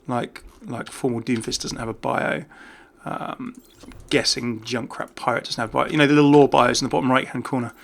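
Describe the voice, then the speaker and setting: A man's voice: medium pitch, fairly even intonation, brisk, creaky, few pauses. A man giving casual, off-the-cuff game commentary as a YouTuber, chatting and thinking aloud as he goes. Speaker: gaming YouTuber.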